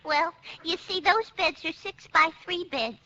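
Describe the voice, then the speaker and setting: A woman's voice: extremely high pitch, measured pace, pushed and bright, heavily acted. A woman playing an apologetic, fussy, scatterbrained hotel maid in radio comedy. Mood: apologetic, fretful. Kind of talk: 1940s-50s radio comedy acting. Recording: very noisy, muffled vintage radio.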